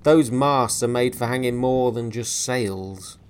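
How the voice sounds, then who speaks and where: A man's voice: medium pitch, a little slow, smooth, pitched above the performer's own voice, natural voice. A man voicing a surly male sailor who barks dismissively. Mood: irritable. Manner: barking, dismissive.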